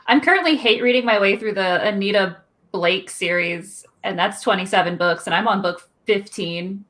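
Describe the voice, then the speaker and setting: A woman's voice: medium pitch, normal speed, fairly bright tone, slight background noise. A woman chatting casually as a guest in a live book group, matter-of-fact and a little self-deprecating. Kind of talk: casual group chat about books.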